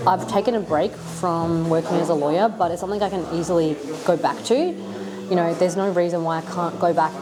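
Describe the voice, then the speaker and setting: A woman's voice: fairly low pitch, steady tone, fairly quick, crowd noise, low-quality recording. An Australian woman in her thirties chatting casually to camera in a vlog, rambling with lots of fillers. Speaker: vlogger.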